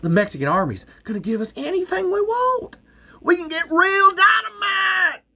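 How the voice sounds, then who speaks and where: A man reading out a screenplay, voicing an excitable scheming male hustler who pitches high and loud. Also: slow. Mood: excited.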